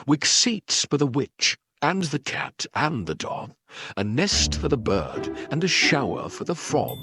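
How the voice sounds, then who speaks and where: A man reading a children's story aloud in an expressive, dramatic narrating style. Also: mid-range voice, unhurried. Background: background music.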